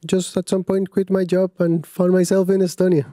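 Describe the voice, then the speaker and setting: A man from Rica, a master's student answering interview questions in measured, slightly formal English. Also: sits high, flat delivery, mellow, no room sound, clean studio sound. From Costa Rica.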